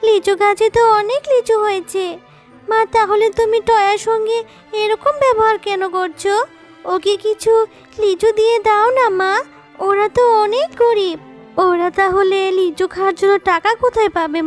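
A woman's voice: extremely high pitch, steady tone, normal speed, faint music behind. A woman voicing a sweet, childlike little girl cub in a children's cartoon story, coaxing and eager. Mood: pleading.

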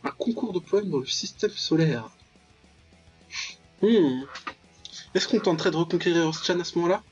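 High-voiced young man, jokey game commentary. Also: expressive, moderate pace, darkish tone. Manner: jokey, mock-dramatic, mutters asides and reads text aloud. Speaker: gaming video host. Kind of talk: casual playthrough commentary of a visual novel. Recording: dull lo-fi voice track over clear music.

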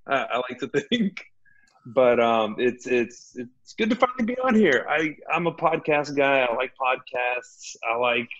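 A man in his thirties from Texas, a guest on a video call from home, telling his story in a relaxed, self-deprecating way. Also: mid-range voice, dull audio. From Laredo, Texas. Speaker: guest, addiction counselor. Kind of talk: personal recovery story. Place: home room.

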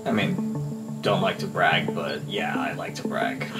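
A man's voice: low voice, a little slow, creaky. A man improvising casual in-character chat as a player in a tabletop roleplay game. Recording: distant mic, music behind.

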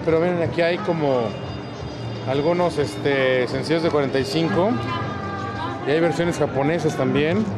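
A man with a Mexican Spanish accent, casually commenting as he browses records in a large indoor flea market hall, listing titles and prices. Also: fairly high pitch. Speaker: vinyl collector vlogger. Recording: loud music in the background.

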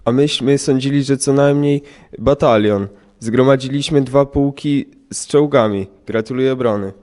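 A man's voice: mid-range voice, moderate pace, darkish tone, close to an everyday voice. A man playing a respectful German officer accepting a surrender in an amateur war audio drama. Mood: surprised, respectful. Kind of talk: scripted war radio drama dialogue.

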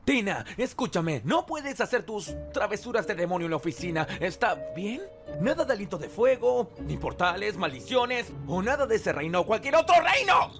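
A man playing an anxious, flustered young office-worker father in an animated comedy dub, hesitant and exasperated. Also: sits high, normal speed, vocal fry, full character voice. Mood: panicked. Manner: exasperated, hesitant, prone to panicked yelps. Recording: music behind the dialogue.

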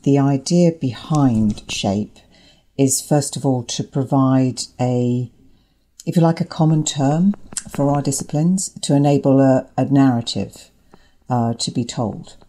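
A woman's voice: a bass voice, unhurried, mellow. A woman giving explanatory interview answers as an academic guest, articulate and emphatic, building points through repetition.

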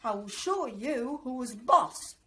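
A woman's voice: on the high side, expressive, takes it slowly, sharp tone, slightly rough, heavily acted. A woman voicing a selfish, bossy stepmother from a fairy tale in a stern, scolding way. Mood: cross, scolding.

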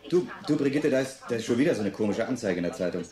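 An actor playing an eager, slightly impatient husband in a radio play. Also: male voice, medium pitch, lively intonation, very fast, crowd noise, close to an everyday voice. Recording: roomy echo, music behind.

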